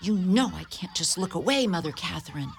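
A woman's voice: lowish voice, animated, a little fast. A woman playing a devout, rule-bound social worker, formal and earnest, in a community radio play. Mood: uneasy, formal. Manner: formal, earnest, hesitant to bend rules. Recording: noisy radio studio recording.

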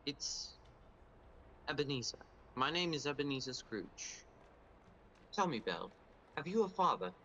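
An actor playing an awkward, earnest young clerk in a radio play, nervous and halting. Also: male voice, mid-range voice, sing-song, sharp tone, dull audio, slight background noise, exaggerated voice. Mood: smitten.

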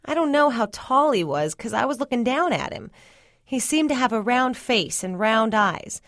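A woman's voice: fairly high pitch, sing-song, moderate pace, fairly bright tone, no echo, clean studio sound, pitched above the performer's own voice. Woman putting on a nervous young girl. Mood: unsure. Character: young girl, nervous and unsure, questioned by police.

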